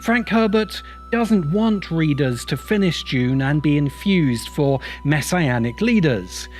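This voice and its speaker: A man narrates a scripted video essay in a slightly high voice. He is emphatic and opinionated, building rhetorical points, with music playing quietly underneath. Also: expressive, slow pace. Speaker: host and writer of science fiction video essays. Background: background music.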